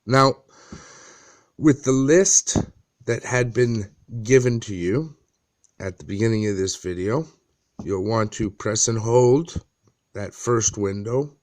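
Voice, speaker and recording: A man in his thirties giving a casual how-to walkthrough in a halting, plain-spoken way, pausing often. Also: lowish voice. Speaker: amateur tutorial presenter. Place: small dim room indoors.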